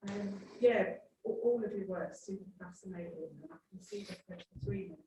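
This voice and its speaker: A woman in the audience, soft-spoken, asking the panel a question. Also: mid-range voice, a little fast, breathy edge. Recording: echoey room.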